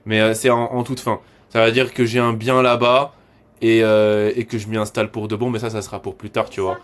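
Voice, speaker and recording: A man's voice: fairly low pitch, little pitch movement, brisk. A man chatting casually as a coach, often repeating words as he starts his sentences. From born in Morocco, raised in France from six months old. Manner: repetitive, stammering starts with slangy French. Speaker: e-commerce coach hosting a discussion with students. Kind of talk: casual chat.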